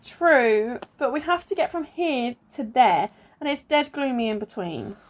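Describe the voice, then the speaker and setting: Woman playing a high-voiced exasperated friend. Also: lively intonation, unhurried. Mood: cautious. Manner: dry, scolding. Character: exasperated, sensible, cautious friend, bossy woman. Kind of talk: amateur radio play acting.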